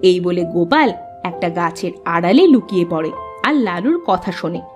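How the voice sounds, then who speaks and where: A woman's voice: lowish voice, expressive, fairly quick, darkish tone, dull audio, faint music behind. A woman narrator reading a scripted fairy tale for a cartoon.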